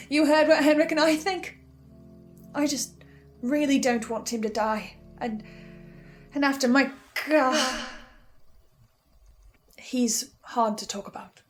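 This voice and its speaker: A woman giving a casual, animated recap and reaction, often interrupting herself with asides. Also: fairly high pitch. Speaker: host of a podcast reaction show.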